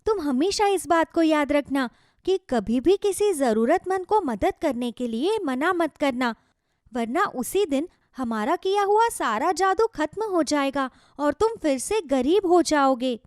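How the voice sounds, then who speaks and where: A woman's voice: sits high, expressive, measured pace, darkish tone, no room sound, studio quality. A woman voicing kindly, benevolent magical talking plants that grant wishes and give advice in a children's cartoon story. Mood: kindly, admonishing. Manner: benevolent, solemnly advising, speaks as "we".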